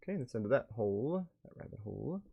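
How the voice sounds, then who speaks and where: A man's voice: mid-range voice, very animated, quick pace, creaky, husky, narrow, tinny audio. A man live streaming a game, moving between reading on-screen text aloud and offhand, muttered reactions.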